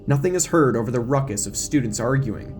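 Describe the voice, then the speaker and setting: A man's voice: medium pitch, lively intonation, fairly quick, darkish tone, soft background music. A man reading scripted, dramatic audio story narration as the narrator.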